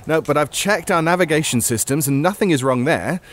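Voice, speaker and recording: An actor playing a knowing, slightly fussy time-travelling show host in a scripted comic audio drama. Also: male voice, on the high side, brisk, close to an everyday voice. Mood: knowing, ominous.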